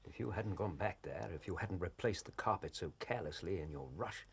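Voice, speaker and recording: An actor playing a steady, kindly Victorian gentleman companion in a period drama, measured and earnest. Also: low-pitched, creaky, a little hiss or hum. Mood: reassuring.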